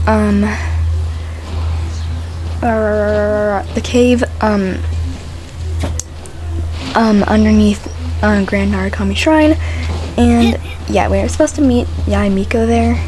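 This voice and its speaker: A woman's voice: mid-range voice, fairly even intonation, extremely slow, pauses often, warm tone, poor audio. A woman hosting a gaming video with casual commentary, hesitant and rambling, often repeating her phrases. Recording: game music behind commentary.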